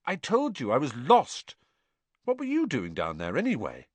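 A man voicing a young schoolboy in a storybook reading, earnest, anxious and a little halting. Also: mid-range voice, wildly swooping pitch, no echo, pitched above the performer's own voice.

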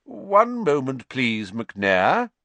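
A man voicing a calm, courteous old male headmaster who sounds quietly amused in an audiobook. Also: medium pitch, very slow, no echo, pitched above the performer's own voice, heavily acted.

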